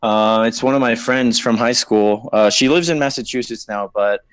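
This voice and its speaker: A man's voice: mid-range voice, normal speed, dry recording. A young man from Saipan calling in, easygoing and chatty, with lots of "like" fillers. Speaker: caller. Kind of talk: casual call-in chat.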